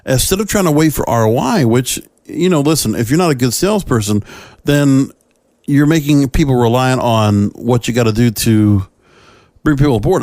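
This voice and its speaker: A man's voice: mid-range voice, a little mellow, dry recording. Man hosting a podcast, dry close sound. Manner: conversational, emphatic, addresses listeners directly with rhetorical questions. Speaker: podcast host. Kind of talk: opinionated solo podcast commentary.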